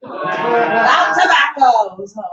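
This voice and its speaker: High-voiced woman host, far and roomy. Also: animated, drawn-out words, heavy background noise. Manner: loose, playful announcements. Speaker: comedy show host. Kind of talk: stand-up hosting announcements. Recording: roomy, distant, dull sound.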